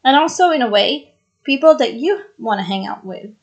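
A woman's voice: fairly high pitch, lively intonation, moderate pace. A woman in her twenties from Brazil, raised in Israel, hosting a solo podcast in an earnest, chatty way and repeating phrases for emphasis. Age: 20s. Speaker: podcast host.

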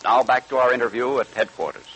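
A man reading a radio advertisement in a deliberate, emphatic 1940s announcer style. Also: medium pitch, steady tone, a bit bright, breathy edge, rough recording. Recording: vintage 1940s radio recording.

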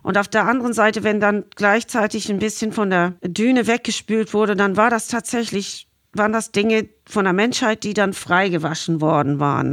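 A woman's voice: medium pitch, no room sound, studio quality. A middle-aged woman from Ireland telling stories conversationally as an interview guest. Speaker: interview guest, marine scientist. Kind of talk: conversational storytelling, reminiscing.

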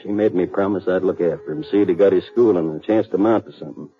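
A man's voice: low voice, quick pace, natural voice. An American actor playing a weary, responsible cattleman and older brother in a 1950s radio western, with plain, rustic speech. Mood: bitter, troubled. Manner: rustic, folksy grammar. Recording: muffled 1950s radio broadcast, faint music.

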